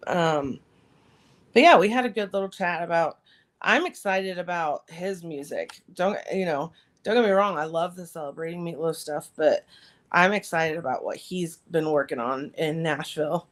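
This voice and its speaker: A woman co-hosting a podcast in a casual chat, her voice slightly raspy after recent surgery. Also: fairly low pitch, normal speed. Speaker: podcast co-host.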